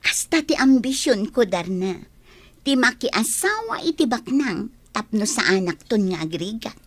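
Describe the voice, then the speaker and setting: An actress playing a vain, sharp-tongued young village woman in a comedy radio play. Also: fairly high pitch, sing-song, measured pace. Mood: dreamy.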